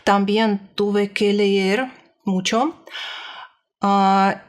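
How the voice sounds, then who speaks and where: A woman's voice: mid-range voice, level tone, very slow. A woman giving a casual personal report as a language learner, speaking hesitantly and a little nervously as she searches for words.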